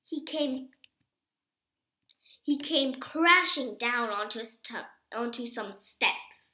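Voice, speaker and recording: Female storybook reader: bright, unhurried, expressive and emphatic phrasing, echoey home recording. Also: on the high side. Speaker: reader of a children's book. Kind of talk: reading a storybook aloud.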